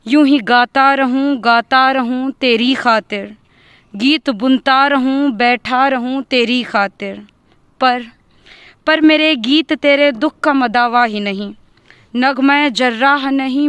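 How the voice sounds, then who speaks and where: A woman giving a dramatic recitation of a poem, deliberate and leaning on repeated phrases for emphasis. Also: high-pitched, a bit bright, clear, resonant tone, no echo. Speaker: poetry reciter.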